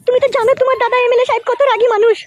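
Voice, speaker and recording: A woman voicing a pushy, scolding village wife in a comic cartoon, with a shrill, nagging, foul-mouthed manner. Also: level tone, rapid-fire, dull audio. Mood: urgent.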